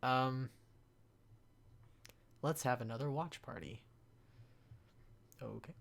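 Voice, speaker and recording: A young man with a mid-pitched voice talks casually, as a creator fielding questions from a chat. His delivery is halting and unscripted, dropping to a mumble to read and getting louder to answer. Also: gravelly, slight background noise.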